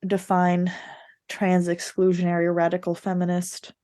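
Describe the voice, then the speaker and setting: A woman's voice: on the low side, monotone, normal speed, dark tone. A woman co-hosting a podcast, casually discussing books in a candid, opinionated way with a readiness to laugh. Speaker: podcast co-host.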